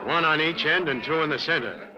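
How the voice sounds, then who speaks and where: A businesslike police inspector, played by a man, speaks directly in a slightly high voice. It is a 1940s radio drama, with a crowd murmuring behind him. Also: a little fast, lo-fi sound, natural voice. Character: brisk male police inspector. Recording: old radio recording with crowd.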